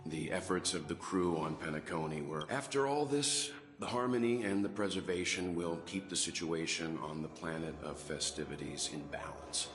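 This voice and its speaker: An actor playing a calm, dignified, mature gentleman traveler in a video game, composed and courteous. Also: low-pitched, moderate pace, hardly any pauses, reverberant, in a large hall, soft background music. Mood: diplomatic. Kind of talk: dramatic video game dialogue.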